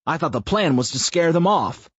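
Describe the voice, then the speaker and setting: A man's voice: fairly high pitch, expressive, moderate pace, slightly rough, no echo, voice pushed up. A male narrator voicing an alarmed man questioning the plan in an audiobook. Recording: muffled lo-fi audio.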